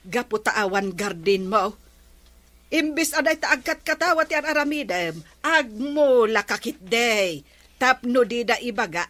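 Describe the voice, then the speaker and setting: A woman plays a nagging wife in an Ilocano comedy drama. Her voice is fairly high, bright and forceful, very dry, with an Ilocano accent. She scolds in emphatic, exasperated bursts. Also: dry recording. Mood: scolding. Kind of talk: rural comedy radio-style drama acting.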